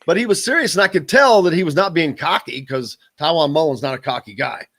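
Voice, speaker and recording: A man hosting a sports radio show, chatting casually, joking and rambling in an animated way. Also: on the high side, fairly quick, noisy background.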